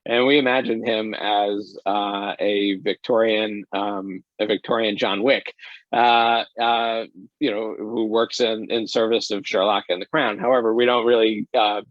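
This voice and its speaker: A man answering as an interview guest, a director speaking casually in halting, hesitant phrases. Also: fairly low pitch, little pitch movement, dry recording. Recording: dry but slightly dull audio.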